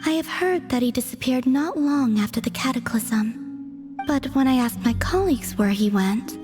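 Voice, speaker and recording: A woman's voice: sits high, music in the background, close to an everyday voice. A woman voicing a serene, mysterious former Fatui agent. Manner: calm, soft, unhurried and detached, speaks formally. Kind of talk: game cutscene voice acting.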